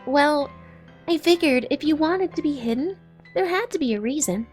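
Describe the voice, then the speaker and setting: A woman's voice: sits high, lively intonation, moderate pace, darkish tone, faint music behind. A woman voicing an eager, bookish young female cartoon pony with an earnest, wordy, apologetic manner in a comic dub. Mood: confident, earnest.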